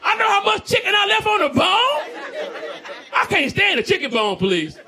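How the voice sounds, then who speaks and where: A man doing a loud, exasperated stand-up rant on a club stage. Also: very high-pitched, moderate pace. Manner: loud, animated, exasperated ranting. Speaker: stand-up comedian. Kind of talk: stand-up set. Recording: live club recording, crowd noise.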